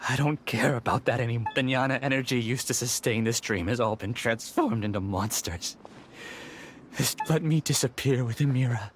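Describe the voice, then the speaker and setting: A man's voice: mid-range voice, moderate pace, close to an everyday voice. A man playing an anguished, defeated scholar in dramatic video game voice acting. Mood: despairing. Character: anguished, defeated male scholar losing his dream world. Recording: clear background noise.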